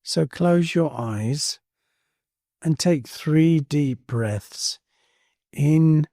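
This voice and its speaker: A man leading a guided meditation in a calm, soothing manner, leaving space between short phrases. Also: on the high side, drawn-out words, no room sound, studio quality. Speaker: meditation teacher. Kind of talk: guided body scan meditation.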